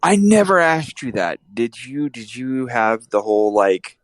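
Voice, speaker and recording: A man's voice: mid-range voice, very animated, measured pace, no room sound. A man hosting a casual podcast, rambling and joking with his co-host, often restarting his sentences.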